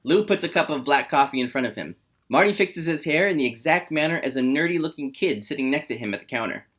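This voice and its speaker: A man's voice: a little high, animated, fast talker, fairly bright tone. A man reads scene descriptions and stage directions from a script as narrator. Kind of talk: scripted narration, screenplay read aloud.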